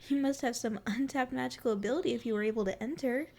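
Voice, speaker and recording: Woman voicing a high-pitched, sweet forest fairy. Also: fast, creaky, a little mellow. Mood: playful, welcoming.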